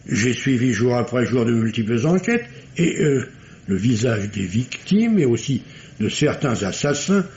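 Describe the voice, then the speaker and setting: An actor playing a solemn, wordy male doctor in a vintage radio mystery, with pompous, deliberate, literary delivery. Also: medium pitch, darkish tone. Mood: solemn, astonished. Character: formal, verbose, solemn male country doctor. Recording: dull, noisy old radio recording.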